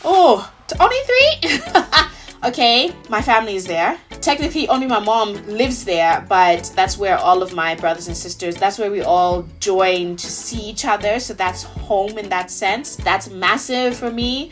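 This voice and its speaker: Woman, from Tanzania, thirties, slightly high voice, chatty, earnest, faint music behind. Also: fairly bright tone. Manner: earnest, chatty, many "you know"s. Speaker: vlogger. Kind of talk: casual Q&A vlog.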